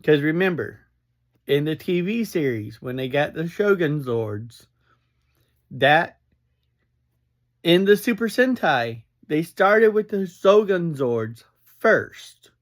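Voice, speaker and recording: Slow-talking man, higher-pitched voice. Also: big pitch swings. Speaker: podcast reviewer. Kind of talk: casual fan review monologue.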